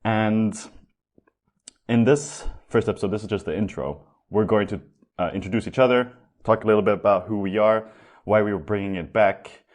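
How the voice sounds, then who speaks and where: Young guy hosting a podcast, really low voice, loose and excited, casual chat. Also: moderate pace. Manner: upbeat, loose, swears casually. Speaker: podcast host.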